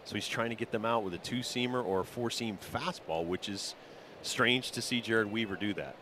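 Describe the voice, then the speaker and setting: A man with a fairly low voice gives color commentary on a live baseball game. He explains things conversationally, like talk between colleagues, over some outdoor background noise. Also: normal speed. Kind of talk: live analytical sports commentary.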